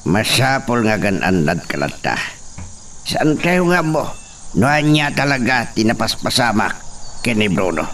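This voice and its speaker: A man's voice: on the low side, big pitch swings, a little slow, a bit bright. An actor playing a cautious older peasant father in an Ilocano radio drama. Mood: concerned. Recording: distant mic, faint cricket noise.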